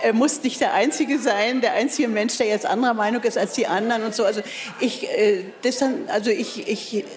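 A woman's voice: on the high side, brisk. Hesitant older woman with a Berlin accent. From East Germany. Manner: hesitant, many fillers and restarts; laconic, self-mocking. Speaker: writer and guest speaker. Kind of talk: interview.

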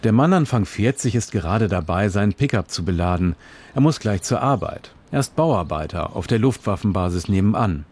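A man narrating a scripted radio reportage in a measured, descriptive storytelling manner. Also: fairly low pitch, lively intonation, darkish tone, husky.

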